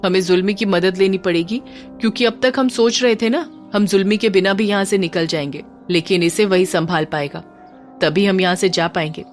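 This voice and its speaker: A woman's voice: medium pitch, moderate pace, faint music behind, close to an everyday voice. A woman voicing a teasing, scheming young man with a wry manner in an audio drama. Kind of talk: Hindi audio drama character voice.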